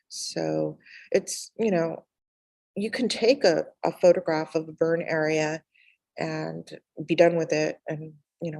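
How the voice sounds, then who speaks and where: A middle-aged woman giving a lecture about her own work over a video call in a monotone, tentative way, with pauses. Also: low voice, creaky, no echo. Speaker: guest artist, photographer. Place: indoor room on a video call. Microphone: video call microphone.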